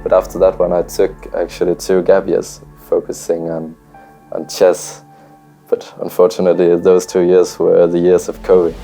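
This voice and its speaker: A man's voice: deep voice, monotone, moderate pace, creaky, dark tone, soft background music. A young man, a chess player telling his background in a plain, matter-of-fact interview. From Denmark. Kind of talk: documentary interview.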